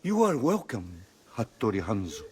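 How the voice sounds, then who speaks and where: An actor playing a solemn clan leader, formal and declamatory, in a dramatic video game. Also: male voice, lowish voice, wildly swooping pitch, normal speed, darkish tone, slight background noise. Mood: ceremonious. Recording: long hall reverb.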